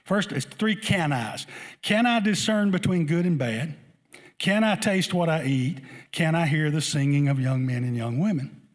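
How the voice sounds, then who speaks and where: High-voiced man preaching a conversational sermon. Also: normal speed. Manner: conversational, repeats points, puts rhetorical questions to listeners. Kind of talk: sermon to a congregation.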